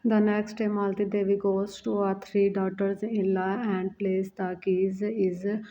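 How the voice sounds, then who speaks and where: A woman narrating a moral story from a script, reading haltingly with stumbles. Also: medium pitch, little pitch movement, mellow. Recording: dull, muffled audio with faint noise.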